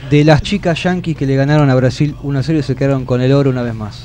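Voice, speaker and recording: A man's voice: medium pitch, steady tone, fast talker, dark tone, a little hiss or hum. A man co-hosting a comedy radio show, asking chatty, lightly teasing questions.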